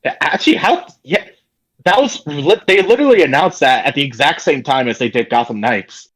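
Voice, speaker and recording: A man's voice: a little high, animated, fairly quick, fairly bright tone. A man in a casual gaming podcast chat, opinionated and slangy, repeating himself for emphasis. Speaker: podcast co-host. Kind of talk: casual chat about video games.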